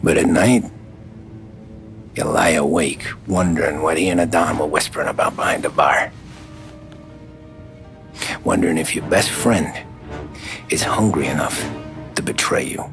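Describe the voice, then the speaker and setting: Tough american mob guy, low voice, brooding, video game crime drama, music loud behind him. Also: measured pace, gravelly, pauses often, full character voice. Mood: uneasy, brooding. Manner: tough, plain-spoken, profane. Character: hardened male mob gangster, brooding ex-cab driver. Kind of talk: cinematic game acting, confessional crime narration.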